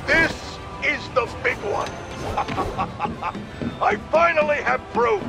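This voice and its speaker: A man's voice: very high voice, wildly swooping pitch, very slow, over loud music, full character voice. An actor playing an irascible, self-important male radio pundit in a video game, bombastic and sarcastic as he rants to his listeners. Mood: triumphant, accusing. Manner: bombastic, sarcastic, exaggerated indignation. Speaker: radio talk show host. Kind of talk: opinionated radio rant. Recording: clear background city noise from gameplay.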